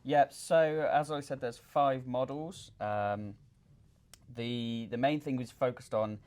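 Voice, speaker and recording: A man speaking as a product designer in an interview, explaining technical details informally with frequent hesitations and pauses. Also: mid-range voice, steady tone, darkish tone. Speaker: loudspeaker designer and company representative.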